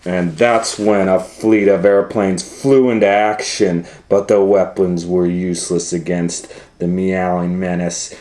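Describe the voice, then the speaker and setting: A man's voice: deep voice, level tone, a little slow, hardly any pauses, warm tone. A man reading a children's story aloud as a storytime host, with theatrical, suspenseful dramatic narration.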